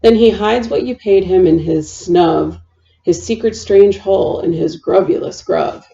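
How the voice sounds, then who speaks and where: A woman reading a rhyming children's story aloud in a deliberate, expressive storytelling manner. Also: mid-range voice, vocal fry, dull audio.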